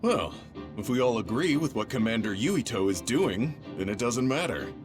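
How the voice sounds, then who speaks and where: An actor playing a weary but resolute ageing male veteran soldier in a video game, gruff and plain-spoken. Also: medium pitch, expressive, moderate pace, lightly acted. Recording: music behind the voice.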